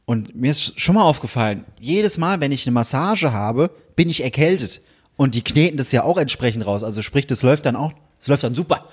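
A husky-voiced man spins a long anecdote in casual chat. His delivery is animated, and he slips into hushed whispers when he plays his own inner thoughts. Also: mid-range voice, brisk.

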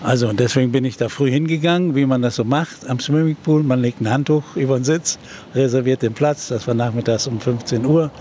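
An older man, a journalist and TV presenter, recounting a historical event in an interview with many pauses and filler sounds. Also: mid-range voice, warm tone, slight background noise. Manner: hesitant storytelling with many fillers.

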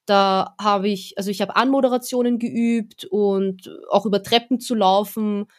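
A woman's voice: mid-range voice, moderate pace. A young woman, an event presenter, chatting easily as a podcast guest. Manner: relaxed, chatty, with fillers. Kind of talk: casual interview talk.

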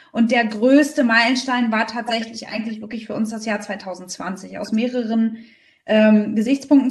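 Woman from berlin, bit high voice, emphatic interview talk, echoey and off the mic. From Berlin, Germany. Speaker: startup founder being interviewed. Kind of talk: conversational interview answers. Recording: echoey room, distant microphone.

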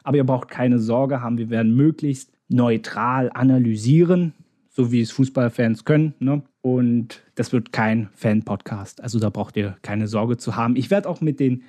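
A man's voice: medium pitch, normal speed, mellow, echoey room. A man born in Berlin, hosting a casual football podcast, talking in a chatty way with frequent restarts and fillers. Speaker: podcast host.

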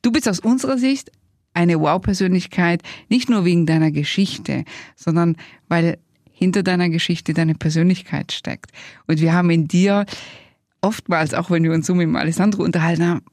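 A woman's voice: fairly low pitch, moderate pace, darkish tone, no echo. Effusive woman hosting, close dry sound. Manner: effusive, complimentary. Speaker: podcast host. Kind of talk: conversational interview hosting.

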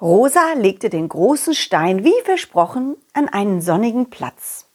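A woman storyteller narrating a children's tale in an expressive, gentle way made for young listeners. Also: medium pitch, unhurried, breathy edge.

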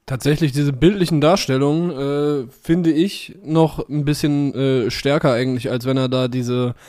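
A man's voice: mid-range voice, fairly even intonation, normal speed, a little mellow. A man co-hosting a casual music podcast, joking and rambling with many fillers. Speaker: podcast co-host. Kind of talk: casual music review chat.

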